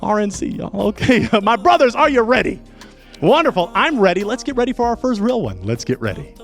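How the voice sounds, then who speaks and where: A man's voice: high voice, very animated, fairly quick, creaky, faint music behind. A man hosting a dating show with a playful, hyped showman delivery. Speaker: host.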